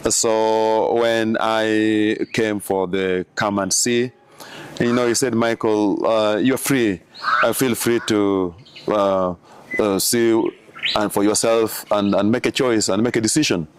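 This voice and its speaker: Slow, halting Ugandan priest, low voice. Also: male voice, steady tone, a little hiss or hum. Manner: slow, halting, many fillers. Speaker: missionary priest. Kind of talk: interview answers.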